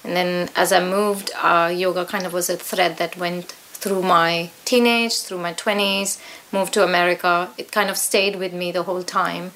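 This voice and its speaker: A woman's voice: on the low side, level tone, normal speed, fairly bright tone, poor audio. A middle-aged woman with an Indian accent, talking conversationally as an interview guest. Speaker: guest, yoga teacher.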